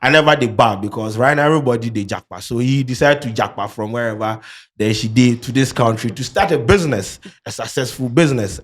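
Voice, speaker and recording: Lively man with a Ghanaian accent. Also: medium pitch. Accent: Ghanaian English with Pidgin. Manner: lively, playful, mixing in Pidgin. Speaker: podcast host. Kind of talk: casual interview chat. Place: studio.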